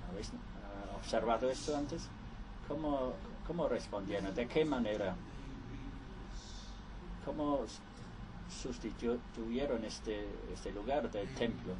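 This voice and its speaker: A man interpreting conference speeches live, halting and restarting often. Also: mid-range voice, background noise.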